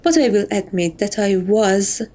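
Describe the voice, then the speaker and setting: A woman playing a seductive, possessive catgirl thief who dotes on her captive, speaking softly and teasingly in a romantic ASMR roleplay. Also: mid-range voice, expressive, a little slow, close to an everyday voice. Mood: smug, wistful. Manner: soft, coaxing, teasing, doting.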